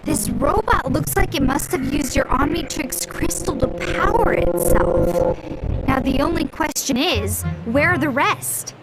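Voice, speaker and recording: Game girl sidekick: smart, level-headed kid, high pitch, matter-of-fact questioning delivery. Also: animated, measured pace, low-quality recording. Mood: puzzled. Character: clever, sensible young girl sidekick. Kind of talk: video game cutscene voice acting. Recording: loud music under the voice.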